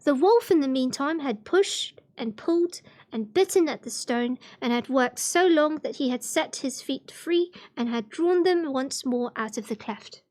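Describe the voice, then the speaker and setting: High-pitched female voice, expressive storybook reading, livestream fairy tale narration. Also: a little slow, few pauses.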